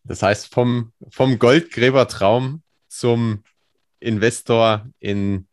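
A man's voice: mid-range voice, steady tone, takes it slowly, dry recording, clean studio sound. A man hosting a podcast, asking his guest questions in a friendly, upbeat way. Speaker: podcast host. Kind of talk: podcast interview.

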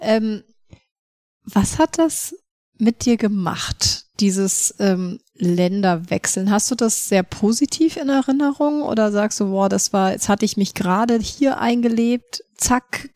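A woman's voice: mid-range voice, measured pace, darkish tone, no room sound. A young woman hosting a podcast in a studio, chatty and enthusiastic in a casual interview. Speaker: podcast host.

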